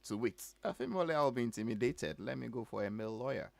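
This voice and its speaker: A man's voice: medium pitch, expressive, quick pace, creaky, no echo. A man hosting a radio talk show, teasing his panel and often laughing. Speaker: radio entertainment show host. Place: radio studio. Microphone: studio microphone.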